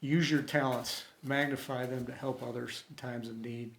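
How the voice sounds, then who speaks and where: A man reading a story aloud as host, with expressive, dramatic delivery. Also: mid-range voice, normal speed, gravelly, slight background noise. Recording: roomy, echoey home recording.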